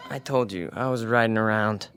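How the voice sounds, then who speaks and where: Earnest young alien, music behind. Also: male voice, on the low side, a bit bright. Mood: reluctant, defensive. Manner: earnest, halting. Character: young male alien invader posing as human, conscience-torn. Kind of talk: radio drama acting.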